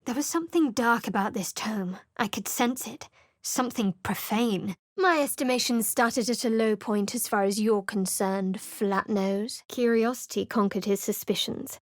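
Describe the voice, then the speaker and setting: Woman voicing a secretive young female cleric, dry sardonic delivery, high voice, close dead studio sound. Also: moderate pace, no room sound, lightly acted. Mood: wry. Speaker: game companion. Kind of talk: studio game voice lines. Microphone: close studio mic.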